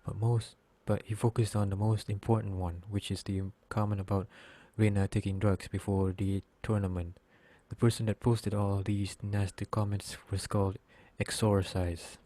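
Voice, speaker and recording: A man reading scripted story narration as narrator, halting now and then as he slips over words. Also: fairly low pitch, flat delivery, warm tone, no room sound. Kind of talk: scripted narration, read aloud.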